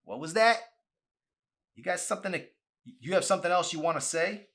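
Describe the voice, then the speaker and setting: A man's voice: high voice, expressive, normal speed, a bit bright, pitched above the performer's own voice. A man voicing a mean, bossy shop manager in an audiobook, demanding and overbearing. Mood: menacing. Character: mean, bossy ice cream parlor boss.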